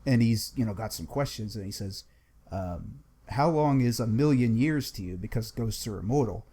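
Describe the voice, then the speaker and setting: A man's voice: lowish voice, lively intonation, normal speed, dark tone, husky. A man in a casual group discussion, retelling a story conversationally as a panelist. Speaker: book club panelist.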